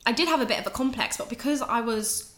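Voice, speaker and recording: A young English woman with a bright, forceful, fairly high voice chats openly as a podcast guest. She's candid and swears freely. The room is noticeably echoey. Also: quick pace. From England, raised in Ireland. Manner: chatty, candid, swears, laughs often. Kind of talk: casual podcast chat.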